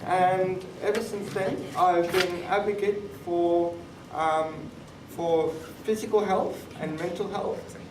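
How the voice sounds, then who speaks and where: A man's voice: sits high, steady tone, takes it slowly, hardly any pauses. A man in his twenties giving an explanatory personal talk as a speaker on a live panel. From born in Thailand, moved to Australia. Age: 20s. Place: conference room, live event. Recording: roomy, distant mic, clear background noise.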